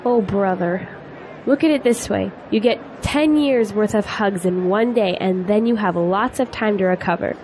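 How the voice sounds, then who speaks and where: A woman's voice: medium pitch, normal speed, a little mellow, slight background noise, lightly acted, poor audio. A woman playing a wry, easygoing friend in an audio drama, trading dry, joking banter. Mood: teasing, wry.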